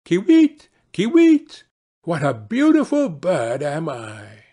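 A man voicing a proud magical bird in a fairy tale, chanting in a sing-song way with drawn-out calls. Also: fairly high pitch, wildly swooping pitch, warm tone, heavily acted. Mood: plaintive, proud. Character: proud, magical singing bird, bargaining and bright.

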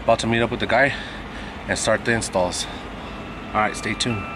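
Laid-back man vlogging over loud wind. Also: fairly low pitch, steady tone, moderate pace. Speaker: vlogger, car customizer. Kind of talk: casual vlog chat. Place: outdoors, in or near a vehicle. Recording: loud wind noise from vehicle outdoors. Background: wind and traffic.

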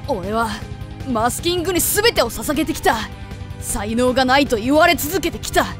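A woman's voice: sits high, animated, moderate pace. A woman playing a proud, jealous, hot-headed teenage boy in a comedic voice drama, with intense melodramatic delivery. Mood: furious, defiant. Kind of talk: comedic anime-style voice drama acting. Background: background music.

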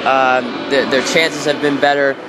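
A man giving color commentary on a hockey game, speaking haltingly with many fillers and restarts. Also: mid-range voice, flat delivery, noisy background. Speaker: color analyst. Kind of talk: casual game analysis. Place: arena.

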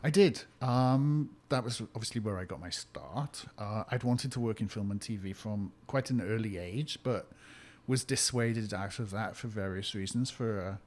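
A man from Wales with a Welsh accent, a location consultant answering interview questions in clear, detailed explanations. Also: lowish voice, normal speed, darkish tone. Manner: articulate, explains at length.

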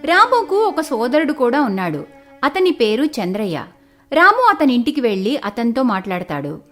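A woman narrating a scripted village moral story in an expressive storytelling style. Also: on the high side, normal speed, faint music behind.

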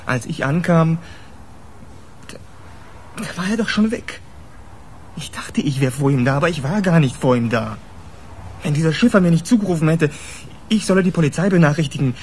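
A man's voice: on the high side, a little mellow, slight background noise, lightly acted. Actor as a defensive young policeman. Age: 20s. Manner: terse, evasive, defensive. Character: defensive, sullen 28-year-old policeman under questioning. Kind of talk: naturalistic radio crime drama acting.

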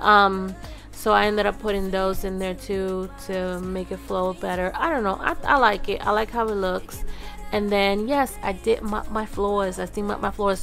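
A woman's voice: mid-range voice, creaky, a bit bright. A woman giving a casual, chatty vlog voice-over, talking informally and directly to her viewers. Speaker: family vlogger, host. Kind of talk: casual voice-over narration of cleaning.

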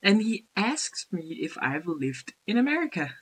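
A woman's voice: mid-range voice, very animated, measured pace, slightly rough, poor audio. A young Danish woman vlogging casually to a webcam in her bedroom, rambling and laughing at herself, speaking English as a second language. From Denmark. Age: young adult. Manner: rambling, self-deprecating, giggly, gestures a lot. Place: small bedroom indoors. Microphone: built-in webcam mic.

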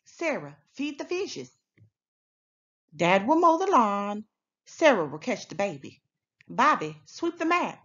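Woman acting bossy frazzled parent, storybook style, emphatic, swooping pitch, slow deliberate pace, slightly dull recording. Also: very animated, a bit bright, heavily acted. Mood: frazzled, commanding. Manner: emphatic, exasperated, commanding. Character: frazzled, bossy parent rallying the family to clean. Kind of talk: children's storybook read-aloud.

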